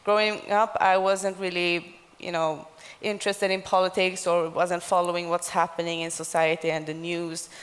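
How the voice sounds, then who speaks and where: A woman's voice: fairly low pitch, level tone, moderate pace, few pauses. A woman in her twenties from Sweden, giving a personal account as a panelist. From Gothenburg, Sweden. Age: 20s. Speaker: panelist, activist. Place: large conference hall stage. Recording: reverberant conference hall.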